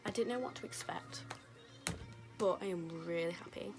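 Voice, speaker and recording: Chatty young woman, voice going hoarse. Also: medium pitch, normal speed. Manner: chatty, rambling, lots of fillers. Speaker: beauty vlogger. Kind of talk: casual makeup tutorial chat. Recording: music playing behind.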